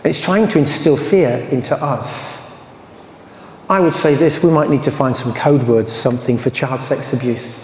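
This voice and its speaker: A man's voice: mid-range voice, moderate pace, a little mellow, slight background noise. A man with an English accent speaking emphatically as a survivor advocate at a public meeting, his voice growing heated. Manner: emphatic, rising to anger, then apologetic. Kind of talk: impassioned public talk. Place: large echoey hall. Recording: roomy echoey hall with reverb.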